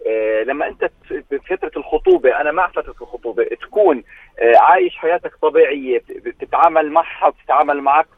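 A man calls a radio show and makes an emphatic point, halting and restarting. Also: fairly high pitch, no echo. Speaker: caller. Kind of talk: phone-in opinion. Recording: tinny phone line.

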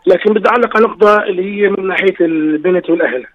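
A man's voice: sits high, racing. A married man with a slightly tired voice calls in to a radio show to share an earnest personal story. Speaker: caller. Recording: dull lo-fi phone line.

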